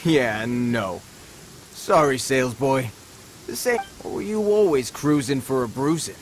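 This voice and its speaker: A man's voice: mid-range voice, animated, a little slow, slight background noise, natural voice. A man voicing a mocking bandit thug in a video game, talking in sneering, taunting slang. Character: mocking male bandit thug, rough-spoken.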